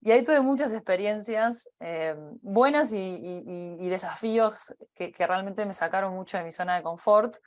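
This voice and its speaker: A woman in her thirties with a Uruguayan accent, giving a personal career talk, pausing often with fillers and restarting her phrases. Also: medium pitch, darkish tone. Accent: Uruguayan Spanish. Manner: hesitant, many fillers and restarts. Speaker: company partner giving a talk.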